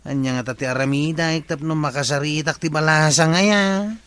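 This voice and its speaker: A man's voice: a little high, steady tone, a little fast, fairly bright tone, clear, resonant tone, close to an everyday voice. An actor with an Ilocano accent playing a lovesick, bashful man in a comedy drama, talking in a plaintive, conversational way.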